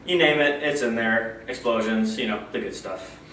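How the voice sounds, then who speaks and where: A man's voice: mid-range voice, moderate pace. Easygoing young man, roomy far mic. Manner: easygoing, rambling, speeds up when excited. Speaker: guest reviewer. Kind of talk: casual review chat. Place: small indoor studio with a poster backdrop. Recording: echoey room, mic far off, faint hiss.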